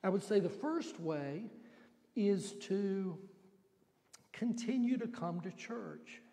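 An older man, a pastor, giving a gentle, folksy children's sermon from the front of a church sanctuary on a handheld mic. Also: high voice, expressive, slow, gravelly. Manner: gentle, folksy storytelling with homely examples. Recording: long church reverb.

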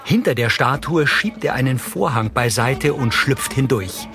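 A man's voice: medium pitch, lively intonation, measured pace. A man reading scripted audio description, narrating scenes in the present tense. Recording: clear music behind the voice. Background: soundtrack music.

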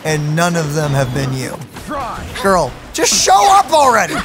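Animated low-voiced young woman streamer. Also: a little slow. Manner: wry, playful asides. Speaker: gaming streamer. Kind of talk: casual reaction commentary. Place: indoor room, on webcam beside game footage. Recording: game music behind the voice.

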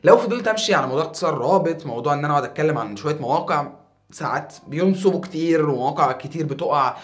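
A young man in his twenties with an Egyptian Arabic accent, talking casually to camera as a YouTuber in a small foam-paneled room, animated and a bit tired. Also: on the high side, racing. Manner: animated, gestures a lot with his hands.

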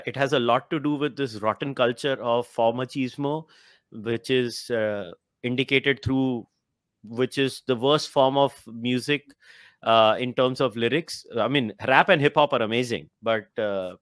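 A man's voice: medium pitch, fairly bright tone, dry recording. A young man with an Indian accent, a podcast host who states blunt opinions and reads book passages aloud, mixing English and Hindi. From Mumbai, India. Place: room with bookshelves. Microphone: headphones and studio mic.

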